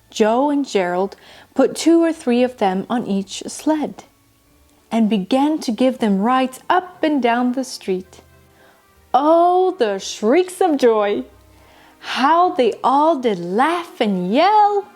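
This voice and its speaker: A woman reads a children's bedtime story with a very animated, swooping delivery. Her voice sits fairly high and she takes her time over each phrase. Music plays clearly behind her. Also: takes it slowly. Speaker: storyteller, children's show host. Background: background music.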